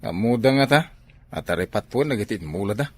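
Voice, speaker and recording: A man with a Filipino Ilocano accent and a husky voice playing a disapproving older brother in an amateur comedy drama. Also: fairly low pitch, animated, a little fast. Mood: scornful. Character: grumbling, disapproving older brother, a village husband.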